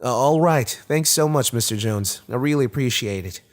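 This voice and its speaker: A man's voice: medium pitch, expressive, normal speed, pitched above the performer's own voice, close to an everyday voice. A man voicing a polite, hesitant young man in an audiobook, a little nervous and awkward. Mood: grateful.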